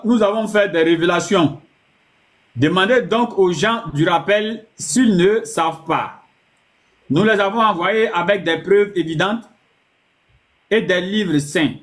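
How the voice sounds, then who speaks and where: High-voiced man reading scripture aloud.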